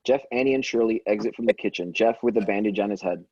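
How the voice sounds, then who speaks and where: A man's voice: fairly low pitch, fast talker, a little mellow, breathy edge, dry recording, lo-fi sound. A man reading script stage directions aloud in a plain, matter-of-fact way, with occasional stumbles. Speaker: stage directions reader at a table read.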